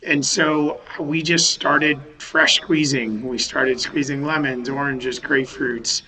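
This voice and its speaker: A man from Western Massachusetts, a company founder, talking over a video call in a thoughtful, halting way with many restarts. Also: mid-range voice, steady tone, pushed and bright, rough recording. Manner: thoughtful, restarts sentences, many um and you know. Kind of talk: remote podcast interview. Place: home or office in a city.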